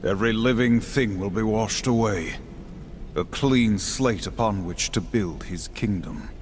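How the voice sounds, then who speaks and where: A man's voice: on the low side, measured pace, slight background noise, close to an everyday voice. A man playing a stern, dutiful horseman warrior in a video game, speaking in a solemn, formal, declamatory manner. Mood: grave. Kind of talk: game cutscene dialogue. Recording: music behind the voice.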